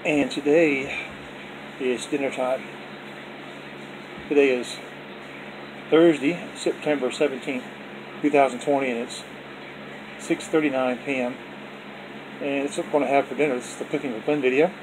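Brief: folksy male home vlogger at the dinner table, phone-recorded, air-conditioner hum clearly audible behind him. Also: medium pitch, steady tone, normal speed, long pauses. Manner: folksy, repetitive, with religious blessings. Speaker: vlog host showing his dinner. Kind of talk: casual off-camera food vlog. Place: indoors at home, dining table.

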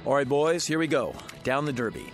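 An actor playing a smooth-talking male card dealer in a radio drama, slick and needling. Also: mid-range voice, very animated, fairly quick, over music, natural voice. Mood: sly.

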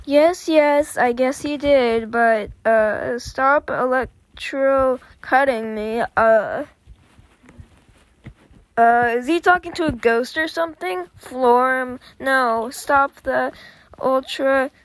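A woman's voice: high-pitched, slow, dry recording. A young girl hosting a gaming video, sick and a little off-voice, chatting hesitantly and repeating words as she plays. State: sick, voice sounds off. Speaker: gaming channel host. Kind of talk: casual gameplay commentary.

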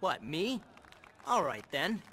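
An actor playing a brash celebrity fighter in a video game, smug and taunting. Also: male voice, fairly high pitch, big pitch swings, slow. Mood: cocky taunting.

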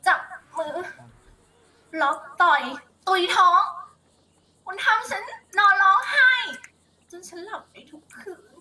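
A woman's voice: extremely high pitch, animated, slow pace, exaggerated voice. A woman playing a frightened young captive who cries out and pleads in overdone melodrama. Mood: accusing. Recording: loud background noise.

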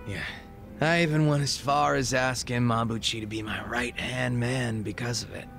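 A man voicing a laid-back, wistful gang leader in his thirties in a video game, reflective, unhurried and casually profane, with a gravelly voice. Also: medium pitch, steady tone, a bit bright, faint music behind. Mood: matter-of-fact. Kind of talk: video game cutscene dialogue acting.

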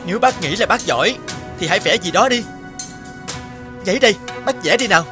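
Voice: medium pitch, expressive, fairly quick, close to an everyday voice. An actor voicing a proud young male painter in a cartoon dub, polite but boastful. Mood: indignant. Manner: polite, self-satisfied. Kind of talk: cartoon dubbing. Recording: music behind the voice.

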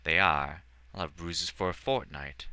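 Narrator's hot-tempered male dark elf, clipped and forceful. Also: low-pitched, unhurried, clean tone, no echo, heavily acted. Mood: gruff, wry. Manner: curt, forceful.

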